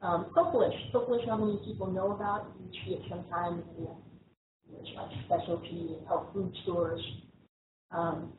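A woman lectures on bees in a relaxed way, pausing on ums and restarting phrases. Her fairly low voice sounds thin and distant, as if caught from across an echoing room, with a faint hiss underneath. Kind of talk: slide lecture. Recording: echoey, tinny, distant room recording.

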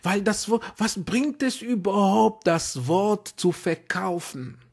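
A man preaching a sermon in a language he learned as an adult, hesitant and repeating words, then rising to emphatic, high-pitched exhortation. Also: takes it slowly, vocal fry, a little mellow, husky. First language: not German. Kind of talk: impassioned sermon.

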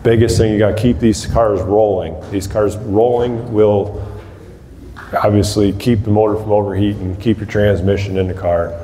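A man's voice: lowish voice, fairly even intonation, normal speed, dark tone. Plainspoken man in an echoey hall. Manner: plainspoken, practical, frequent ums and restarts. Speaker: demolition derby builder giving a seminar. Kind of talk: casual talk to a small audience. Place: large hall with rows of empty chairs, indoors. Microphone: far camera across the room. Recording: echoey hall, faint background hiss.

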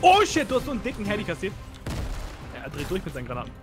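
A man's voice: sits high, wildly swooping pitch, rapid-fire, faint music behind. A young man chatting casually as a fellow player in gaming banter, slangy and complaining.

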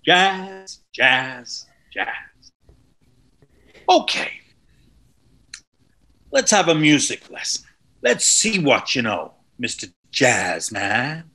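Man acting arrogant, forceful music teacher. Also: mid-range voice, very animated, drawn-out words, pauses often, heavily acted. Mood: mocking. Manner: condescending, goading. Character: arrogant, intimidating male music instructor, older, bullying. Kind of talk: script table read acting. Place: bright room at home, on video call. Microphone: computer mic on video call.

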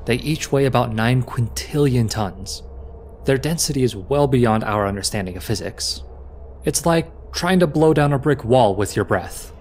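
An actor playing a knowledgeable space explorer who explains things matter-of-factly. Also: male voice, mid-range voice, animated, moderate pace, a little mellow, slight background noise, close to an everyday voice. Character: knowledgeable, matter-of-fact male science-minded explorer. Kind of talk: audio drama acting.

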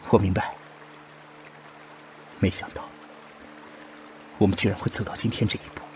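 A man's voice: fairly low pitch, flat delivery, moderate pace, noisy background, natural voice. A man playing an earnest, resolute young soldier with heartfelt, solemn delivery in a radio drama. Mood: tender, grave. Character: earnest young male soldier, secret communist, loving and resolute. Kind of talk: Chinese fan radio drama acting.

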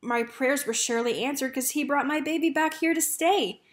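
A woman's voice: high-pitched, moderate pace, voice pushed up, close to an everyday voice. A woman voicing a loving, devout mother from a storybook, with tender, folksy rural speech. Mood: joyful, devout.

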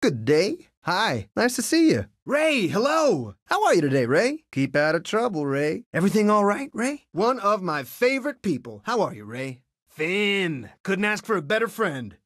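Young space pilot, breezy, confident, lively up and down delivery, video game, dry recording. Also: male voice, sits high, normal speed, no room sound, exaggerated voice. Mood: warm, friendly. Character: cocky, friendly young male resistance pilot. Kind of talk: video game character voice clips.

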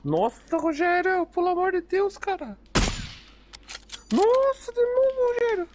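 Excitable man calling out teammates. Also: very high voice, unhurried. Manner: excitable, teasing, shouts at teammates. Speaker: streamer in squad. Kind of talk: casual gaming chat over voice chat. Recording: noisy game audio with gunfire.

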